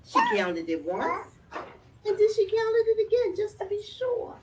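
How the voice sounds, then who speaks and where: A woman reading a children's story aloud in an expressive way, with chatty asides to the children and parents listening. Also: very high voice, measured pace. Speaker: library storytime reader. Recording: dull, distant, noisy room recording.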